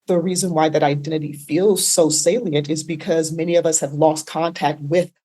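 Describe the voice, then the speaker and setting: A woman's voice: deep voice, fairly even intonation, normal speed, warm tone, husky. A woman therapist being interviewed, explaining points emphatically and often adding a tag question. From United States. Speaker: therapist guest.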